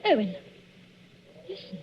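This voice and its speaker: An actress playing a tense, defensive young wife who hides her unease, with a husky voice and clipped, guarded replies in a 1940s radio drama. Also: slow, music in the background, close to an everyday voice. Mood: frightened. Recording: dull, muffled old radio recording.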